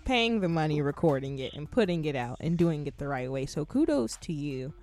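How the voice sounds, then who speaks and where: A woman's voice: sits low, sing-song, brisk, a little mellow. A woman co-hosting an interview, encouraging the guest and laughing easily. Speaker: co-host. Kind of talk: casual interview chat.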